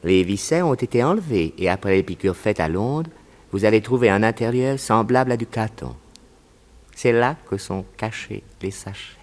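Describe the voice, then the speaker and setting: Male villain, acted, criminal undertaker for vintage radio drama: lowish pitch, calm, methodical, instructing. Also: close to an everyday voice. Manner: measured, instructing. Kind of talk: 1960s French radio drama acting.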